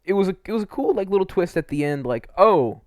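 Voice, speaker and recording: Animated young man giving casual game commentary. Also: high-pitched, fast, dry recording, clean studio sound. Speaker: video game reviewer and host. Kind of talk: casual opinionated ranking commentary.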